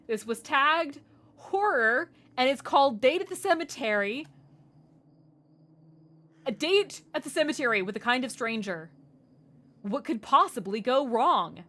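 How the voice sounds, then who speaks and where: Bright, animated hoarse woman streamer. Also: a little high, measured pace. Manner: animated, exasperated, laughing reactions. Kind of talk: live game commentary and reading aloud.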